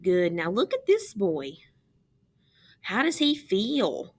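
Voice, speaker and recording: A woman reading a picture book aloud as a teacher, pausing to explain and ask young children questions. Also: mid-range voice, wildly swooping pitch.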